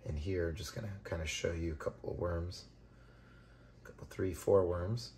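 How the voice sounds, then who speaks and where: A man hosting a composting tutorial, talking casually and relaxed as he works with his hands and shows what he is doing. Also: deep voice, slight background noise. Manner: relaxed, conversational, talks while working. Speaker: vermicomposting channel host.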